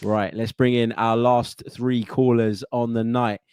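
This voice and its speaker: A man hosting a football call-in podcast, brisk and chatty. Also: medium pitch, level tone, darkish tone, slight background noise. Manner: brisk, chatty, calls people mate. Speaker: podcast host taking callers. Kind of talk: casual call-in football chat.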